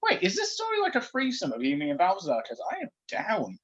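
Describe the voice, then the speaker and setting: A man's voice: high voice, wildly swooping pitch, fast talker, a bit bright, slightly rough. Man reading comedy fiction, dull lo-fi sound. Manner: jokey, breaks off into asides and giggles. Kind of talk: live comedic story read-aloud with asides.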